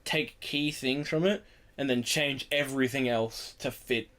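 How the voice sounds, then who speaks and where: A man's voice: medium pitch, fairly even intonation, a little slow, a bit bright. A man hosting an informal group chat on a podcast, often restating his points for emphasis. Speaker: podcast host.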